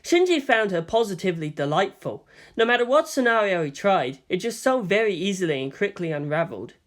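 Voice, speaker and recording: Guy narrating fanfic like an audiobook, really animated up n down delivery, expressive. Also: high-pitched, brisk.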